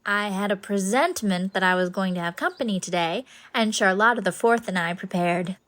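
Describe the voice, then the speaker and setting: A woman's voice: mid-range voice, fairly quick, a bit bright, close to an everyday voice. An actress playing a sweet, whimsical middle-aged lady in an audiobook drama, with effusive, playful, theatrical phrasing. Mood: welcoming. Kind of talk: scripted audiobook drama acting.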